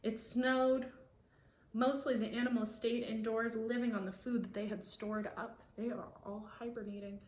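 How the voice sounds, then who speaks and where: High-voiced woman reading aloud, echoey room. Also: moderate pace. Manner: expressive, explains words to young listeners. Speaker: read-aloud storyteller. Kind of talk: children's picture-book read-aloud with asides.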